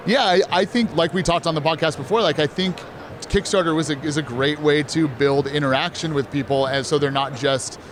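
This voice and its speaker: A laid-back man talks about his art in an easy, joking way, with a voice that sits slightly high. Clear outdoor noise surrounds him. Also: steady tone, brisk. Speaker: artist and gallery exhibitor. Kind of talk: casual interview answers.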